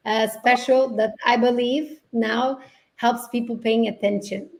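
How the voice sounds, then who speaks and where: A woman whose first language is Portuguese, speaking as a guest over a video call. She has a gravelly voice and a reflective manner, often restarting as she searches for words. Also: steady tone, a little slow, darkish tone. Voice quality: gravelly low-toned voice. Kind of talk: casual conversational interview.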